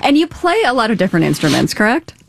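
A woman's voice: a little high, very animated, quick pace, gravelly. A woman, interviewing a guest conversationally as a radio host. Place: radio studio.

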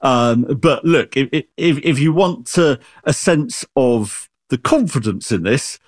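Lively expert man, very expressive pitch, dry close sound. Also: slow pace, no room sound. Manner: animated, explains with everyday analogies, frequent restarts. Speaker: expert guest. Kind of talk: explanatory interview talk.

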